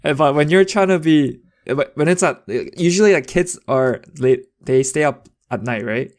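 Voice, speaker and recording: A man's voice: a little high, normal speed. A young man co-hosting a podcast, telling anecdotes in casual, slangy, rambling talk. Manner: informal, rambling. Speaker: podcast co-host.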